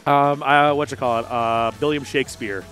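Excitable young man calling a fighting game. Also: mid-range voice, normal speed, faint music behind. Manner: excitable, jokey, gets loud when hyped. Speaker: esports commentator. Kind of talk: live fighting game commentary. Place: large hangar hall at a gaming event.

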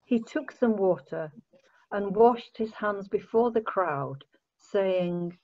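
A woman narrating a Bible story in a measured, formal read-aloud manner. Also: medium pitch. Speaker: narrator of a church scripture reading. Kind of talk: dramatic Bible reading, scripted narration. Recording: dull lo-fi home recording.

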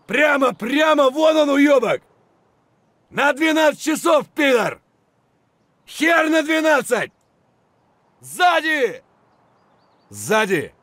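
An actor playing an aggressive, foul-mouthed mercenary in a military shooter game, giving short, punchy combat callouts. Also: male voice, very high voice, very animated, slow, long pauses, fairly bright tone, dry recording, exaggerated voice. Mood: urgent, aggressive.

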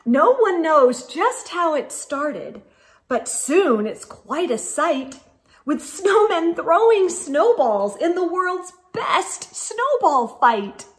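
A middle-aged woman hosting a children's storytime, reading a picture book aloud in an expressive, sing-song way and talking directly to her young listeners. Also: very high-pitched, slow. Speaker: host of children's storytime show. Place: indoors in a room lined with bookshelves.

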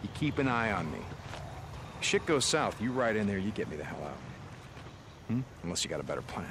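An actor playing a hardened biker soldier in a game cutscene, talking roughly and bluntly, swearing freely. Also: male voice, lowish voice, sing-song, brisk, background noise. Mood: determined. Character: hardened male drifter biker, gruff and stubborn. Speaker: biker soldier, main character. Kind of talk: video game cutscene acting. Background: game score music.

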